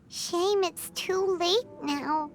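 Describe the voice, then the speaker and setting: A woman voicing a tiny, cheeky, girlish fairy companion in a video game, with expressive, cartoonish phrasing. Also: very high-pitched, full character voice. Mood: wistful. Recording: game music behind the voice.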